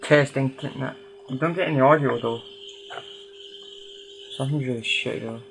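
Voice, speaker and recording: Male hobbyist restorer, fry-laden voice, hesitant workbench narration; rough, noisy home recording. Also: medium pitch, normal speed, a bit bright. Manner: hesitant, thinking aloud while tinkering. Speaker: hobbyist restorer hosting a video. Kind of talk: casual unscripted workbench commentary.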